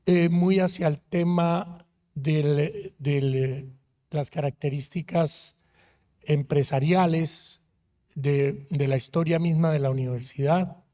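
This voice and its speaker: A man from Colombia, a university rector speaking on a conference panel in a friendly, measured way with frequent hesitations. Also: on the high side, mellow. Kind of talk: panel talk. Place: conference auditorium.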